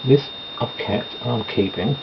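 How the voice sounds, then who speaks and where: Rambling man, gravelly voice. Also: medium pitch, a little slow. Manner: chatty, meandering, self-deprecating asides. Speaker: vlogger doing makeup. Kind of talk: rambling casual chat. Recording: dull lo-fi home recording with noise.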